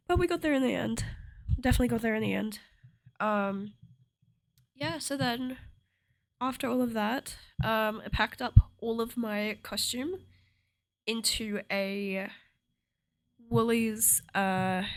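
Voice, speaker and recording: Croaky, gravelly young woman. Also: fairly high pitch, takes it slowly, long pauses, no room sound. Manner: rambling, chatty, laughs often. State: a little croaky. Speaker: cosplay vlogger. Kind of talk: casual chat to camera.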